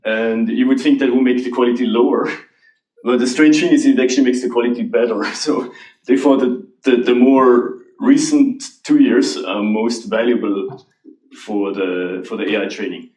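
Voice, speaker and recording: A man from Switzerland, answering audience questions about AI in a halting, restarting way. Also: mid-range voice, darkish tone. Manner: halting, restarts often, laughs lightly. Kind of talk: conference Q&A. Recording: roomy hall, voice from a distance.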